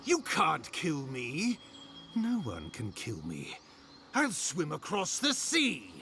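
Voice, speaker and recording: An actor voicing a big, deranged, hostile man in a video game, raving with theatrical menace in a gravelly, strained voice. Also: on the high side, wildly swooping pitch, takes it slowly, bright, forceful tone, heavily acted. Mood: defiant, menacing. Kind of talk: video game character acting.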